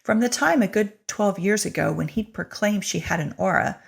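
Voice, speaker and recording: A woman giving an expressive, wry scripted narration of a story in a husky, creaky voice. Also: medium pitch, moderate pace. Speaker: audiobook-style reader of a short story.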